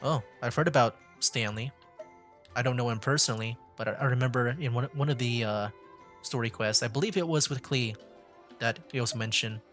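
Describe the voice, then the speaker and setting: A woman's voice: rumbling low, a bit bright. A woman giving casual live gameplay commentary as a streamer, hesitant and thinking aloud. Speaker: VTuber game streamer.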